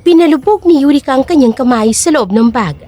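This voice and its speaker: A woman's voice: high voice, lively intonation, brisk, dark tone, soft background music. A woman narrating a dramatic scripted story in Tagalog.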